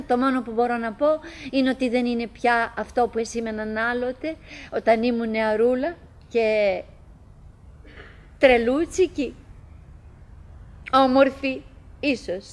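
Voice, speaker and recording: An actress playing a chatty middle-aged wife in a theatrical radio drama monologue, halting and musing, by turns coaxing and murmuring, wistful, fretful and forcedly cheerful. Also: female voice, high voice, close to an everyday voice. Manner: halting, musing, self-interrupting, swinging between coaxing and murmuring.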